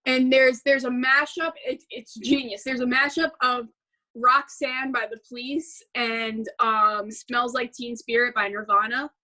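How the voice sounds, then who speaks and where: Young woman host, slow and rambling, bright tone, casual filler-heavy chat. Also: on the high side, steady tone, poor audio. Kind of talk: casual chat about musicals.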